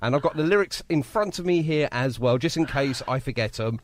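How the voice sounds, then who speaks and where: Effusive, animated male radio host. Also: medium pitch, brisk, a little hiss or hum. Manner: effusive, jokey, repeats himself. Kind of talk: casual live radio interview chat.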